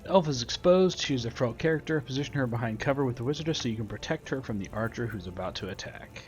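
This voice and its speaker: A man's voice: medium pitch, animated, fast, soft background music. A man giving casual, matter-of-fact gameplay commentary and reading tutorial text aloud as he plays. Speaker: gamer doing a playthrough.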